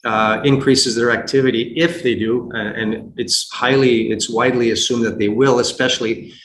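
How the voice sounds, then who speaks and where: Male investor guest, mid-pitched, stop-start analysis, roomy sound with faint noise. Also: fairly even intonation, a bit bright. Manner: halting, with frequent repeats and false starts. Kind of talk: unscripted earnings call commentary.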